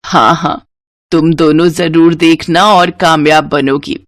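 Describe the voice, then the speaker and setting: Woman voicing a low, motherly old village woman. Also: fairly even intonation, a little slow, dry recording, clean studio sound. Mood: proud blessing. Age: older. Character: kind elderly village woman, motherly and blessing. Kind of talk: animated moral story dialogue.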